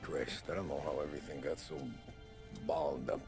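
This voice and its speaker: A weary American mobster turned informant with a low voice. He speaks in short, guarded, subdued phrases, as in a dramatic crime video game. Music is clearly audible behind him. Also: fairly even intonation, a little fast. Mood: weary, reflective. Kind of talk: cinematic video game acting.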